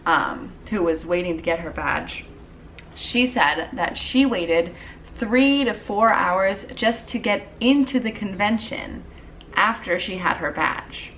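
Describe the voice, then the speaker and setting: A woman's voice: mid-range voice, slow, a bit bright, distant mic, a little hiss or hum, poor audio. A young woman in her twenties telling a casual storytime vlog at home, chatty with lots of "like" and "um". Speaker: vlogger. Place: dim living room at home.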